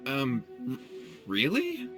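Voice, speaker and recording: An actor playing an earnest, anxious young martial artist in an anime game, speaking with pleading deference. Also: male voice, mid-range voice, wildly swooping pitch, slow pace. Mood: flustered, deferential. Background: music.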